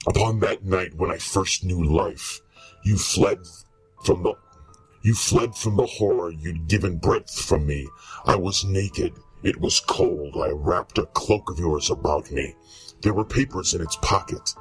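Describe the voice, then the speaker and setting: A man's voice: low voice, faint music behind, rough recording. A man playing a bitter, lonely monster, speaking in a solemn, grandiose way in a radio horror drama. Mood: bitter, demanding. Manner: solemn, grandiose, deliberate delivery. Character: lonely, bitter male giant monster, loathing and pleading. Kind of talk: old-time radio horror acting.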